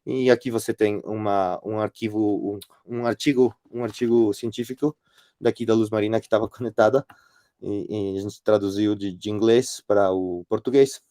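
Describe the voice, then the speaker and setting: Guest lecturer, middle-aged man, Spanish accent, lowish voice, dry close sound, halting delivery. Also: steady tone, warm tone, no room sound. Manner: hesitant, many restarts and fillers. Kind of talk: online workshop presentation.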